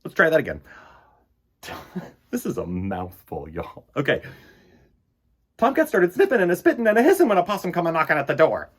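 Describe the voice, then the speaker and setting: Male kids' storytime host, lively swooping pitch, husky tone, playful and direct with young listeners. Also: fairly quick, pauses often. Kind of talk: chatty read-aloud for children.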